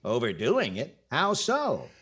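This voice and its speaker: A man's voice: mid-range voice, huge pitch swings, slow pace, a bit bright, husky, heavily acted. A middle-aged man playing a dry, witty host in 1940s radio comedy style. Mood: wry, inquisitive.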